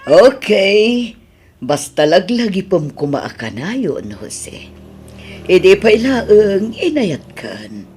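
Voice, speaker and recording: A woman's voice: medium pitch, sing-song, takes it slowly, darkish tone, a little hiss or hum. A woman with an Ilocano accent acting a forward, flirtatious admirer in an audio drama. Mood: flirtatious, coy.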